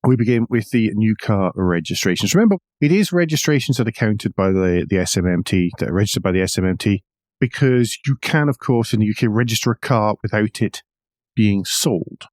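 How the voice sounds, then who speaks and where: A man hosting a podcast, explaining the news in a measured way with wry asides. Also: lowish voice, darkish tone, no echo, studio quality. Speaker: podcast host. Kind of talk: news discussion with commentary.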